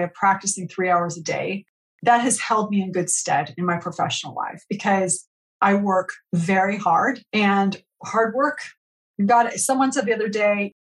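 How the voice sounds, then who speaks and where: Middle-aged woman, earnest and reflective, podcast interview guest, measured way of talking. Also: medium pitch, poor audio. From California.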